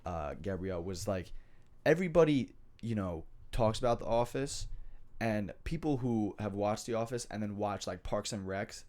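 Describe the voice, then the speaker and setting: Stuffed-up young guy rambling on a podcast, slangy, lowish voice. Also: lively intonation, moderate pace, a little mellow. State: stuffy nose. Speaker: podcast host. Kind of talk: casual chat.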